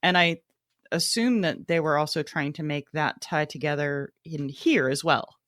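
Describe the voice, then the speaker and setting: A woman's voice: sits low, moderate pace, fairly bright tone, dry recording. A woman chatting as a podcast co-host in a dry, close recording. She is conversational and reflective, explaining her thoughts in a casual book club discussion.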